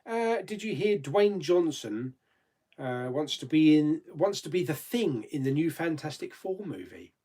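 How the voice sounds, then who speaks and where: Chatty man with a lively voice. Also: a little high, measured pace. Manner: chatty and rambling, reads out viewer comments, laughs easily, mild swearing. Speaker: live chat host. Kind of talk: casual live stream chat.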